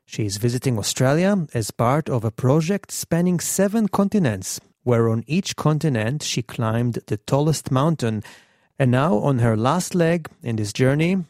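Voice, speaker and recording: Male radio host, measured questions, mellow tone, dry studio. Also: medium pitch, no room sound. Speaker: radio interviewer.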